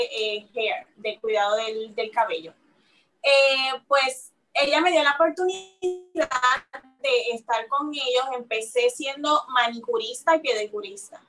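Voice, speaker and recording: A Puerto Rican woman talks about her work on a radio show, pausing and restarting often. Her voice sits a little high and sounds bright and sharp. She is heard from some distance from the microphone. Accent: Puerto Rican Spanish. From Puerto Rico. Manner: hesitant, many fillers and restarts. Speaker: guest aesthetician. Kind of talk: radio interview.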